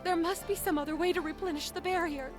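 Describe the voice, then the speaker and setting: A woman playing a gentle young dream maiden in an audio drama, earnest and imploring. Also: very high voice, fairly even intonation, fast talker, faint music behind. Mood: desperate pleading.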